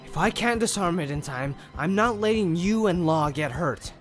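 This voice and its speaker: A man's voice: high-pitched, expressive, normal speed, fairly bright tone, faint music behind. A man playing a brave, self-sacrificing policeman in an audio crime drama. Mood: urgent.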